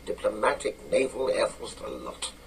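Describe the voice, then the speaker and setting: Gruff older British spymaster, low and commanding, clipped radio-play delivery, slightly distant with faint noise. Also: male voice, big pitch swings, normal speed. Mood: brisk, authoritative. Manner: clipped, commanding, matter-of-fact. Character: gruff, authoritative older British spy chief, dry and brisk. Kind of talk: radio drama acting.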